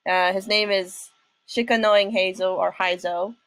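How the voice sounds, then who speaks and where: A woman hosting a reaction video with casual commentary, speaking haltingly and often repeating her phrases. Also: mid-range voice, fairly even intonation, a bit bright, no room sound. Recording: music behind the voice.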